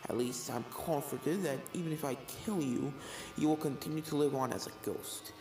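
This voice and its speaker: A performer voicing a sinister female ghost mare who speaks softly and slowly with calm menace in a fan fiction reading. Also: mid-range voice, roomy sound, music in the background, natural voice. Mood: resigned menace. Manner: hushed, slow, calmly menacing. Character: soft-spoken sinister female ghost mare, former serial killer.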